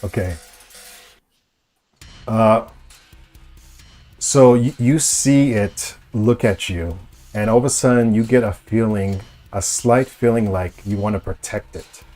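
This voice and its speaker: A man acting as game master, improvising narration of a tabletop horror game in halting, repetitive phrasing. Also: fairly low pitch, darkish tone, faint music behind.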